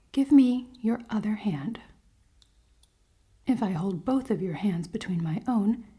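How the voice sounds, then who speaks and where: A woman playing a cold-hearted, commanding elven queen in a fantasy ASMR roleplay, soft and often whispering, with formal, teasing speech. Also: fairly high pitch, slightly rough. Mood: exasperated, concerned, then gentle, teasing. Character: cold-hearted, dominant elven queen, haughty, secretly caring.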